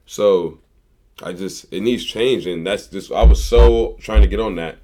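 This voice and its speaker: A man in his twenties from Missouri, talking as a podcast guest in a rambling, animated way and repeating phrases for emphasis. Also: lowish voice, a little slow. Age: 20s. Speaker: guest, local activist. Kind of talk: casual conversation.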